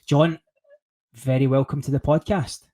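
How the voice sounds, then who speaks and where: A man's voice: fairly high pitch, fairly even intonation, a little slow, warm tone. A man hosting a podcast interview in a chatty way, often restarting and repeating words. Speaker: podcast host. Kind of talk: casual interview.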